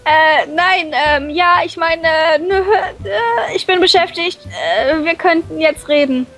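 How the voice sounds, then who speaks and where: A woman's voice: very high voice, expressive, slow pace, fairly bright tone, full character voice. A woman voicing a shy, flustered schoolgirl heroine with a crush in a visual novel, stammering and hesitant. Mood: flustered, embarrassed. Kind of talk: visual novel dub reading with inner monologue. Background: music.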